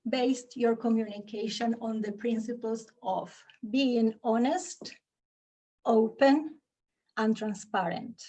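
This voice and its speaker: A woman giving a conference talk to freelancers, explaining in a measured way with fillers and restarts. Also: fairly high pitch, mellow, low-quality recording. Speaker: conference speaker. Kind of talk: presentation to an audience.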